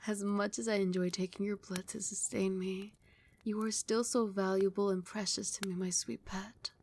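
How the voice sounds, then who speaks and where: A woman voicing a doting, possessive vampire queen in an ASMR roleplay, speaking softly and breathily, often dropping into a whisper, with tender, regal endearments. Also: mid-range voice, little pitch movement, normal speed, slight background noise, lightly acted. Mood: soothing, protective. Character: doting, possessive vampire queen soothing her beloved pet.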